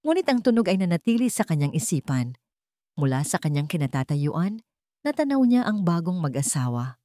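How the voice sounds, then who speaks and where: A woman with a Filipino accent narrating a scripted dramatic story in measured, suspenseful short phrases. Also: lowish voice, very animated, dark tone, no echo, clean studio sound.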